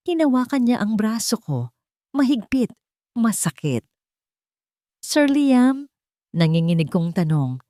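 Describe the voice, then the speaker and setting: Low-voiced woman narrating a romance. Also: dark tone, no echo, studio quality. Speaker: story narrator. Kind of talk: dramatic first-person romance storytelling.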